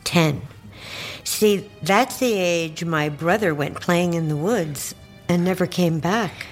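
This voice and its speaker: An actress playing a sly, folksy older great-aunt in an audio drama, coaxing and condescending with sweet endearments. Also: female voice, lowish voice, takes it slowly, faint music behind. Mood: ominous, knowing.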